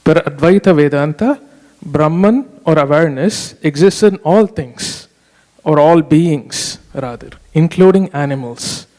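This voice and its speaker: A man's voice: a little high, measured pace, darkish tone. A man from Boston asking a teacher a question as an audience member, often checking for agreement. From Boston, United States. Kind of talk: spoken question at a retreat. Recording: echoey hall with long reverb.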